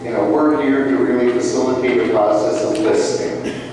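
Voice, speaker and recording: A man's voice: medium pitch, steady tone, moderate pace, noisy background. A man presenting at a community meeting in a large room, speaking informally with many fillers. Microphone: handheld mic held at a distance. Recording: far-off echoey hall with mic feedback.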